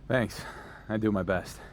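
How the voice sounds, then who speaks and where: A wry underdog pro wrestler in a game cutscene, with noise behind him. His voice is on the low side, and he speaks casually and with dry understatement. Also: slow pace. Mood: deferential, low-key. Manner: casual, understated, dryly sarcastic. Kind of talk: scripted video game cutscene acting.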